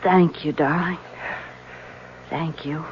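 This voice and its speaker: A woman's voice: low voice, steady tone, slow, dull audio. A woman playing a sickly, devoted young wife in a radio drama, tender and anxious in manner. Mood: weak, tender. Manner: plaintive, tender, pleading. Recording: slightly hissy vintage radio drama.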